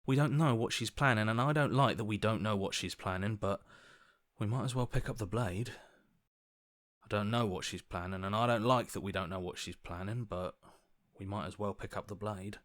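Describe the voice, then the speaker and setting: A man's voice: fairly low pitch, normal speed, a bit bright, dry recording, clean studio sound, close to an everyday voice. A man voicing an earnest, anxious hero as a reluctant inner voice in a video game, conversational, hesitant and wry. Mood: uneasy, alarmed. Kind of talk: dramatic video game voice acting.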